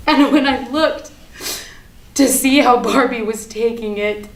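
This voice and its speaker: A woman's voice: on the high side, measured pace. Actress playing a bitter, manic woman in an echoey room. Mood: indignant. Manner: theatrical, emphatic, repetitive, sardonic, swinging from hushed to ranting. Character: bitter, manic woman confessing her war on a Barbie doll. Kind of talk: dramatic monologue at a staged reading. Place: indoor room, live staged reading. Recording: echoey room with background hiss.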